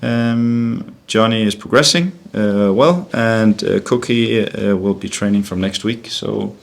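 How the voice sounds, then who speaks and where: Deep-voiced Danish man, halting speech. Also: gravelly. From Denmark. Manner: halting, repeats words while searching for phrasing. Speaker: football manager. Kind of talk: press conference answers.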